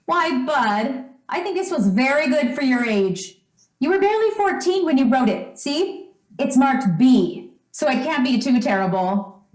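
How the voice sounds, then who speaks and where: A woman's voice: high-pitched, sing-song, unhurried, darkish tone. A woman playing a doting, trusting, well-off mother in an old-time radio drama, speaking in a fond, fussing, chatty way. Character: doting, trusting, wealthy mother of a teenage son. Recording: roomy, echoing stage-like sound.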